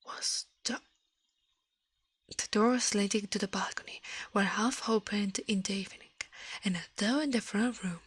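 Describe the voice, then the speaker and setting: A woman reading a story aloud in a gentle, breathy ASMR whisper, halting now and then, close to the microphone. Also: medium pitch, no echo. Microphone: close microphone, dry sound.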